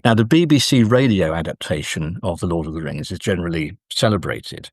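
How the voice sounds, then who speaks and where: Male academic for interview, lowish husky voice, close dry sound, thoughtful and halting delivery. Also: lively intonation, no room sound. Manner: measured, hesitant, scholarly. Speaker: academic guest, author. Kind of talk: thoughtful literary interview discussion.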